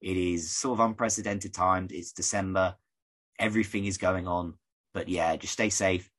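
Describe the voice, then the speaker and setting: A man from the UK hosting a casual podcast, rambling and conversational, his voice croaky. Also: sits low, fairly even intonation, normal speed, a bit bright. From United Kingdom. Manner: rambling, conversational, many fillers. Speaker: podcast host.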